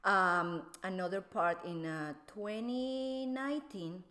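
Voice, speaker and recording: A Venezuelan woman speaking English as a second language, explaining things to a show host in a halting way with many fillers. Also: mid-range voice, pushed and bright. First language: Spanish. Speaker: guest. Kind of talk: casual explanatory chat.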